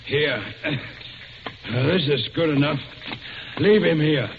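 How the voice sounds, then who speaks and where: Casting: businesslike, ruthless male gangster, high pitch, curt orders, vintage radio, muffled noisy sound. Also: animated, takes it slowly. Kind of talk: 1940s radio acting.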